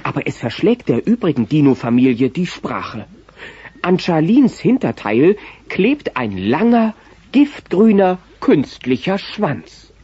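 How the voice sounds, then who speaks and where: A man's voice: a little high, big pitch swings, unhurried, darkish tone, a little hiss or hum. A man narrating a children's audio drama in scripted storytelling style. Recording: dull cassette transfer.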